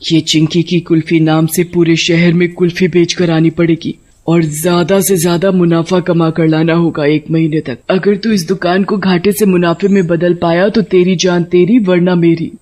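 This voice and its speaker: A woman's voice: fairly low pitch, flat delivery, moderate pace, few pauses, darkish tone, natural voice. A woman voicing a commanding witch ghost who drives bargains in a cartoon story.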